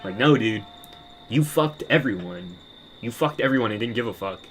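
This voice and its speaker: A man's voice: on the low side, lively intonation, moderate pace, fairly bright tone, faint music behind. A man ranting in a casual monologue, bitter and profane, rambling with many restarts. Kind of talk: casual rant to camera.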